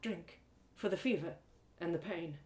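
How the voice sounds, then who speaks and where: A woman voicing a caring woodland healer woman in a storybook, firm, concerned and gently commanding. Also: lowish voice, unhurried, close to an everyday voice. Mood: soothing. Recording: roomy echo.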